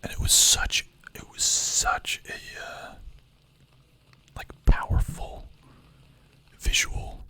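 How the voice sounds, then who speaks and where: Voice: slow, long pauses, sharp tone, poor audio. A young adult streamer whispering ASMR-style, chatting casually with chat, full of filler words and the odd swear.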